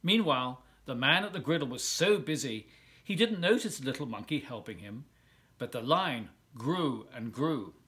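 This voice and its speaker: Animated man reading a children's storybook. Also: medium pitch. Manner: animated, with drawn-out words and dramatic pauses. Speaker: volunteer children's storybook reader. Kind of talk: expressive read-aloud of a children's picture book.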